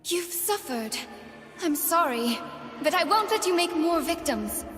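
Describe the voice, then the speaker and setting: A woman's voice: extremely high pitch, expressive, a little slow, a bit bright, full character voice. A woman voicing an earnest, eager young doll-like heroine in theatrical video game lines. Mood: resolute. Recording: reverberant game audio over music.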